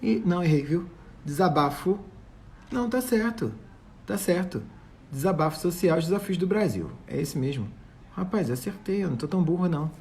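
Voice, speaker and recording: A man's voice: high-pitched, lively intonation, normal speed, a little mellow, a little hiss or hum. A Brazilian man hosting a casual live online chat, effusive and informal, often repeating his phrases. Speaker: host. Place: online live video call.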